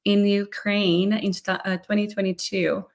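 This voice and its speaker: A woman's voice: medium pitch, little pitch movement, unhurried. A woman hosting a live podcast interview, explaining her field fluently and upbeat. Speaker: podcast host, myofunctional therapist.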